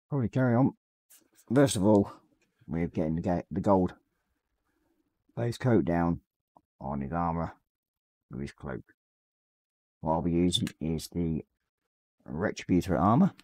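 A man in his forties with a slightly croaky voice, casually chatting on a live stream and reading out viewer messages as he paints. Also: deep voice, measured pace, pauses often, no echo. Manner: reads chat messages aloud, relaxed and rambling. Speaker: livestream host. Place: small hobby room at home.

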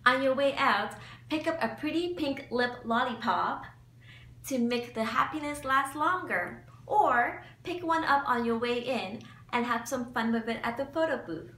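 A woman's voice: high-pitched, a little slow, bright, forceful tone, distant mic. Cheerful young woman vlogger, echoey room. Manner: cheerful, enthusiastic presenter. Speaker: beauty vlogger. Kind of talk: upbeat scripted vlog narration. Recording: echoey room, mic set back, faint hum.

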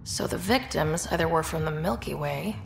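An actress playing a dry, cocky young space explorer in a video game, terse and self-assured. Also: female voice, low voice, moderate pace, creaky. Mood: businesslike. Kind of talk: video game dialogue acting. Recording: roomy echo, faint background noise.

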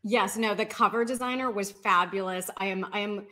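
A woman's voice: medium pitch, moderate pace, bright, forceful tone. A woman speaking casually as a guest author in an interview over a video call. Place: indoor room with bookshelves, video call.

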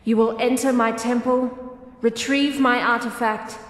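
A woman's voice: on the high side, level tone, unhurried, close to an everyday voice. A woman voicing an imperious, stately goddess in a fantasy game, speaking formally and solemnly. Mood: commanding. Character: imperious female goddess, stately, wrathful, commanding. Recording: echoey hall-like reverb.

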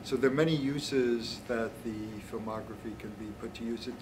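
A man in his seventies giving an informal explanation as a scholar, with halting, deliberate phrasing. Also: mid-range voice, steady tone. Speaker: author and scholar. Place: small indoor meeting room. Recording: noisy room, distant mic.

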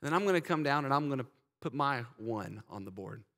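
Earnest pastor in a reverberant sanctuary. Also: male voice, mid-range voice, lively intonation, fairly quick. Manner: earnest, urging, drops soft in prayer. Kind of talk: sermon invitation and prayer. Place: large church sanctuary. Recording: church hall reverb.

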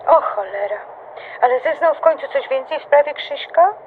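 An actress playing a concerned, blunt best friend who is quick to be indignant, in an audio drama. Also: female voice, sits high, lively intonation, phone-quality sound, a little hiss or hum. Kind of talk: animated audio drama dialogue.

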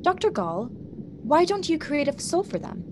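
An earnest, compassionate young woman played by an actress in a radio drama. Her questioning voice moves expressively up and down. Noise is clearly audible in the recording. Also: high-pitched, big pitch swings, a little slow. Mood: troubled. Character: earnest, compassionate young female idealist visitor.

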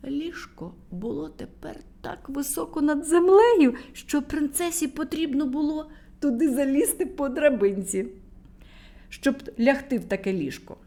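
A woman reads a fairy tale aloud for children, with a slow, gentle delivery. Her voice sits a little high and rises and falls in a very lively way. She turns to speak to the children directly. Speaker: storyteller for children.

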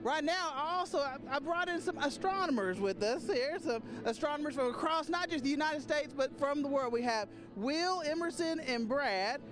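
A man's voice: very high-pitched, expressive, normal speed, hardly any pauses. A man, a TV meteorologist, chatting in a friendly way as he interviews guests outdoors at a festival with a handheld mic. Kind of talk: live TV interview.

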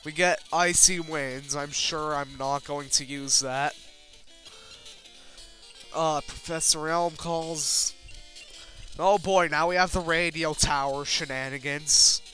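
A man's voice: on the high side, steady tone, takes it slowly, bright, forceful tone. A man giving casual, rambling video game commentary in a self-deprecating way, with casual swearing. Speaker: let's player. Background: video game music.

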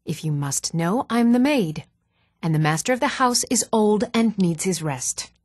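An actress playing an even-toned fantasy townswoman in scripted video game dialogue, with a measured, composed delivery. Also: mid-range voice, no echo, studio quality. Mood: guarded, composed.

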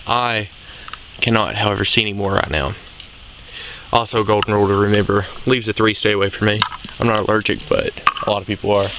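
A young man with a lowish voice talks through a woodland hike in an easy, explaining manner. The handheld camera catches him outdoors with clear noise from brush and footsteps around him. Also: a little fast. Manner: relaxed, explains as he walks. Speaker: outdoor channel host. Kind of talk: casual hiking narration. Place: outdoors in a forest.

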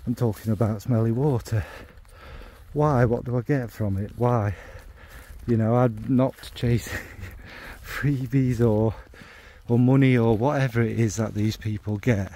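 A man's voice: mid-range voice, steady tone, measured pace. A man chatting casually about his fragrance hobby while out walking the dog, rambling and wry as he questions himself. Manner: thinking out loud, wry and self-questioning. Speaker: fragrance video maker. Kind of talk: rambling walk-and-talk chat. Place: outdoors on a dog walk in the rain.

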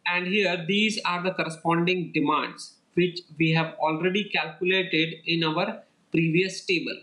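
A man with a slightly raised pitch talks a class through a worked problem on slides. He moves one step at a time and repeats each point in a steady, patient way. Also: rough recording. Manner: steady, repetitive step-by-step explaining. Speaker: lecturer. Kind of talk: slide-based lecture walkthrough. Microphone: voice-over over slides.

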